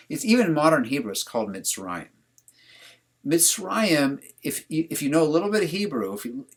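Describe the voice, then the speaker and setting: A man's voice: fairly high pitch, a bit bright. A man teaching a religious class over a video call, talking casually and putting questions to his students. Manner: conversational, asks the class questions.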